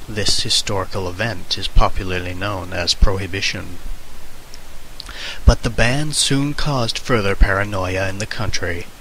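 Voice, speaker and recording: A man with a fairly low, bright voice reads a comic essay aloud as mock-serious history. His delivery is theatrical and scripted, like an amateur dramatic reading. A faint hiss sits on the homemade recording. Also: low-quality recording. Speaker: amateur reader. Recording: hissy amateur microphone recording.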